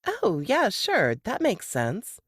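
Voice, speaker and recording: A woman's voice: medium pitch, wildly swooping pitch, slow, breathy edge, no room sound, studio quality, voice pushed up, full character voice. A woman voicing a friendly, easygoing female café worker in an audiobook story, casual and helpful. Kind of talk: audiobook character dialogue.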